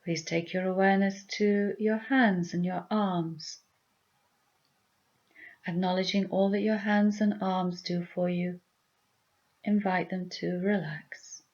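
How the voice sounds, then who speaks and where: Woman, guided meditation, soothing and deliberate, lowish pitch, slightly dull recording. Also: steady tone. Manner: gentle, soothing, deliberate phrasing. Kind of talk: guided meditation, softly spoken instruction.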